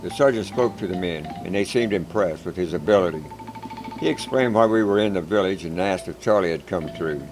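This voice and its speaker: A man with a very low voice tells a first-person story in a calm, measured, plain-spoken way. Music plays clearly underneath the narration. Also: rough recording. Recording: background music.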